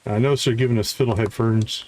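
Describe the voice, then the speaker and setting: A man's voice: mid-range voice, fairly even intonation, fast talker, a little mellow, slight background noise, rough recording. A man doing casual live commentary as he plays a game, chatting in a friendly way with viewers. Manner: friendly, greets viewers by name. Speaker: livestream host playing a farming game.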